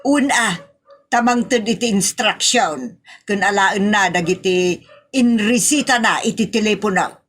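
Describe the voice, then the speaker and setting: A woman's voice: mid-range voice, measured pace, fairly bright tone. A woman playing a fussy, superstitious, scolding mother in a comic audio drama, with an Ilocano accent and fretful exclamations, over faint noise.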